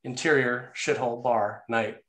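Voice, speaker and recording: A man's voice: mid-range voice, fairly even intonation, moderate pace, fairly bright tone. Echoey male screenplay narrator. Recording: roomy, echoey sound.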